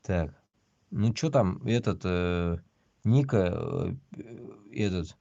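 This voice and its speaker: A man's voice: deep voice, animated, very slow, creaky, mellow. A man from Bashkortostan, hosting a casual livestream in a blunt way. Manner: blunt, heavily swearing. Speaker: livestream host. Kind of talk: casual livestream chat with read-out news. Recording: dull, lo-fi stream audio.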